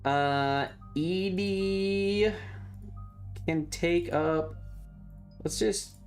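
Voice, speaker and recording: A woman's voice: fairly low pitch, very slow, darkish tone, soft background music. A woman giving casual gameplay commentary as a streamer, offhand and thinking aloud. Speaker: game streamer.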